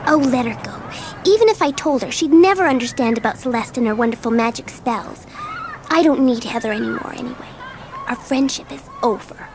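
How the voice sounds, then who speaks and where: A woman's voice: on the high side, brisk, noisy background, lightly acted. A woman acting a bored, rebellious schoolgirl in an audio drama, easily thrilled and drawn into mischief. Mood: sulky, resentful.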